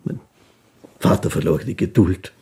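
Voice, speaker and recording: An actor playing an old, impoverished violinist in a radio play, a gentle, humble man telling his life in halting, wistful, reflective phrases. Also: natural voice. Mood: resigned, reflective. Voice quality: husky, gravelly. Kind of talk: dramatic first-person narration in a radio play.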